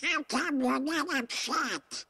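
A man voicing an excitable cartoon duck with a squawky, garbled voice in an animated game. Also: very high voice, slow, full character voice. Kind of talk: animated game cutscene acting. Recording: faint music behind the voice.

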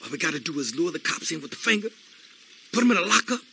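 An actor playing a hot-headed, cocky young gangster sidekick in a video game, brash and excitable. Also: male voice, fairly high pitch, quick pace, gravelly, slightly rough, full character voice. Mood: urgent. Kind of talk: video game cutscene acting.

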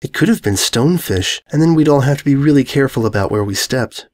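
A man voicing a gentle, mild pony mare who speaks quietly in a storybook reading. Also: medium pitch, expressive, quick pace, voice pushed up, lightly acted.

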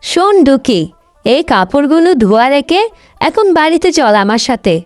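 একজন মহিলা কার্টুন গল্পে অহংকারী, স্বার্থপর তরুণী বড় বোনের চরিত্রে কণ্ঠ দিচ্ছেন, কথায় বকাঝকা আর অভিযোগের সুর।. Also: female voice, high-pitched, sing-song, normal speed, no echo, faint music behind. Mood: scolding, aggrieved. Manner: scolding, complaining. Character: vain, selfish, sharp-tongued young elder sister. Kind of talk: Bengali cartoon story voice acting.

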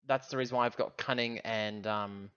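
Male player, mid pitch, bright tone, casual game chatter through a lo-fi mic. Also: steady tone, normal speed, smooth, no room sound.

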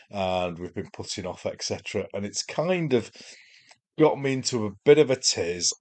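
A man with a Yorkshire accent hosting a wargaming podcast, talking in a rambling, self-deprecating way, his voice chesty and hoarse from a chest infection. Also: lowish voice. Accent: Yorkshire English. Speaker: podcast host. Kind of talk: casual solo podcast monologue.

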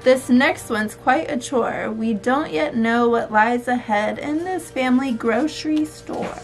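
A woman in her thirties reading a storybook and chatting with a young child in an expressive, sing-song way. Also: fairly high pitch, unhurried, soft background music, rough recording. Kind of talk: storybook read-aloud and casual chat with a child. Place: small room at home, indoors.

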